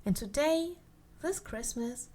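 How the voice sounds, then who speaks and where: A woman performs a yandere Christmas elf in an audio roleplay, her voice a little high. She is playful and sweet on the surface, coyly menacing and possessive underneath, and she lingers over her phrases as she talks straight to the listener. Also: animated, takes it slowly, dark tone. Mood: bright, possessive. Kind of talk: ASMR roleplay monologue to the listener.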